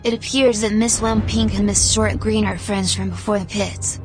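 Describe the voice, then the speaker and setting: A woman's voice: mid-range voice, fairly even intonation, normal speed, natural voice. A woman playing a cocky, sardonic fire mage gladiator, narrating her own story with dry wit. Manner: wry, self-assured, dry-humoured. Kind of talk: first-person scripted story narration. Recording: loud music behind the narration.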